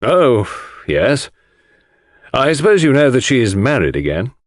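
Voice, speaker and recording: A man voicing an exasperated, outspoken English country gentleman with slangy upper-class talk in an audiobook. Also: medium pitch, sing-song, unhurried, no room sound, clean studio sound. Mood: genial. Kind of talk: audiobook character dialogue.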